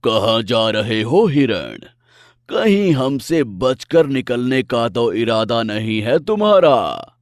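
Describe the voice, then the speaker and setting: A man's voice: medium pitch, sing-song, slow, no echo, studio quality. A man voicing a boastful, bullying lion king in an animal fable, speaking in an imperious, threatening, questioning way.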